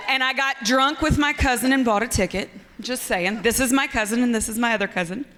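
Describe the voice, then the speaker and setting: A woman's voice: fairly high pitch, brisk, fairly bright tone, big-room sound. A woman fan at a live event, jokey and offhand. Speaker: fan asking a question.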